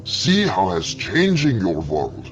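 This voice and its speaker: A man's voice: medium pitch, sing-song, slow pace, pitched above the performer's own voice. A man voicing a pushy male alien exterminator who speaks in broken English with eager, insistent phrasing, on a dull recording with faint music.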